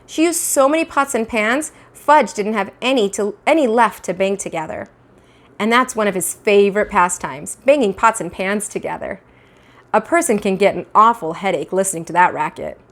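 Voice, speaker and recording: A woman's voice: medium pitch, moderate pace. A woman in her thirties reading a children's book aloud expressively, like a teacher with a class book. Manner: animated, acting out dialogue. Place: small indoor room at home. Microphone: clip-on lapel mic.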